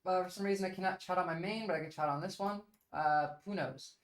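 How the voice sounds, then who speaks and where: A Turkish-born woman argues with her chat on a livestream. Her voice sits a little low. She is blunt and emphatic, pressing her points and dropping in casual fillers. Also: quick pace. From Turkey.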